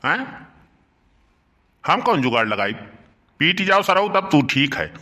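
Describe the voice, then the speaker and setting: A man's voice: fairly high pitch, big pitch swings, slow, sharp tone, heavily acted. A man playing a grumbling, teasing village uncle in a cartoon, with an Awadhi accent and a mocking, scolding manner full of mild curses. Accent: Awadhi, eastern Uttar Pradesh. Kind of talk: dialect comedy cartoon voice acting.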